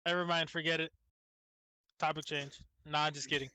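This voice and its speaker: A man with a forceful, bright voice, pitched a little high, chats casually as a podcast co-host. He is sarcastic and teasing and swears freely, and the sound is dry and close. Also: flat delivery, a little fast, clean tone, dry recording. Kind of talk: casual bantering group chat.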